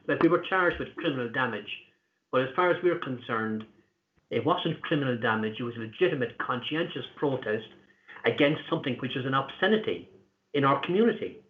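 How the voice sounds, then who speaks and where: A man with an Irish accent answering interview questions in an earnest, deliberate way, pausing often with fillers. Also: fairly high pitch. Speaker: interview guest, activist. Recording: tinny echoey call line.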